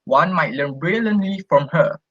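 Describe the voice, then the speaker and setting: A deferential counsellor to an ancient Greek king acted by a young male student speaks in a husky voice, as in amateur radio drama. The recording is dry and dull. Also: a little high, expressive, moderate pace, sharp tone, no echo. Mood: earnest, advising. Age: young adult. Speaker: student actor.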